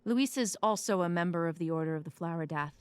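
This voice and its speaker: A woman's voice: fairly low pitch, quick pace, smooth, no echo, close to an everyday voice. An actress playing a blunt, flippant woman on the run in an audio drama, with a breezy, sardonic, casual delivery. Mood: blunt, deadpan.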